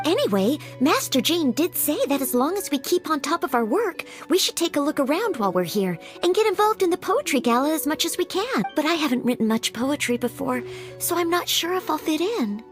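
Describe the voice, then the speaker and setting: A woman's voice: sits high, big pitch swings, a little fast, faint music behind. A woman voicing an earnest, humble, formally polite young female knight-in-training in a video game.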